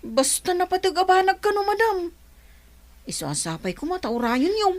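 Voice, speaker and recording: A woman's voice: very high voice, very animated, moderate pace. A woman with an Ilocano accent playing a young, deferential household helper in a radio drama. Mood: eager, deferential.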